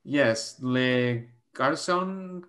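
A man's voice: medium pitch, animated, drawn-out words, fairly bright tone. A man playing an insinuating, flirtatious suitor, coaxing and needling in stage dialogue. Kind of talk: dramatic dialogue in a play reading. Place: indoors at home on a video call.

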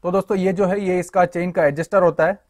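A man's voice: high voice, fairly even intonation, quick pace, darkish tone, dry recording, studio quality. A young man giving a hands-on walkthrough of a tool, explaining each part to viewers he greets as friends. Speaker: tool reviewer.

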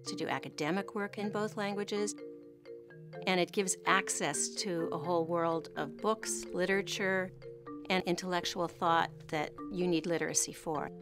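A fairly low-voiced woman speaks in a measured, explanatory way, like an advocate in a documentary interview. Music plays clearly underneath her words. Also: fairly even intonation. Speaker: education advocate.

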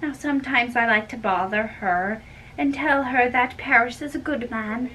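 A woman acting a fussy, chatty old nurse in an amateur dramatic play reading, with drawn-out delivery. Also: fairly high pitch, pushed and bright, pitched above the performer's own voice. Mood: confiding. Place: small bedroom. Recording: distant mic, room noise.

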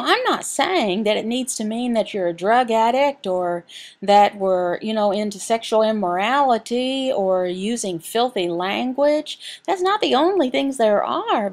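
A woman with noticeable creak in her medium-pitched voice delivers a sermon as a voice interpreter. She teaches in short, halting phrases, repeating key words for emphasis. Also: darkish tone.

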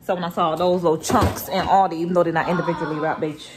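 A woman in her thirties chatting casually to a phone camera in her home kitchen, relaxed and teasing. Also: on the low side, racing, noisy background. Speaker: vlogger and mother. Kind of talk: casual home vlog chat. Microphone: phone camera held at arm's length.

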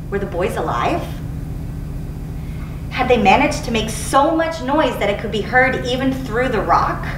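A woman in her thirties reads a book aloud in a fairly high voice. She is recorded from a distance, with clear noise around her. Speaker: teacher reading aloud. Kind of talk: read-aloud narration from a book. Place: indoor school studio, seated. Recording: roomy, distant mic, clearly noisy.

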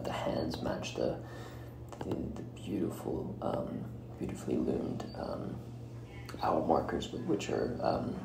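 A man hosting a solo podcast, giving commentary in a halting way and often breaking off mid-phrase. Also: a bass voice. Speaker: podcast host. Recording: roomy echo with clear background noise.

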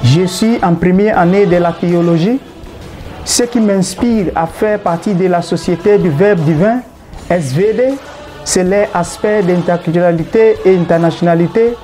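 A young seminarian from Ghana introduces himself in a formal, earnest way. His voice is mellow, and soft music plays underneath. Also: high-pitched, measured pace. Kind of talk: scripted self-introduction.

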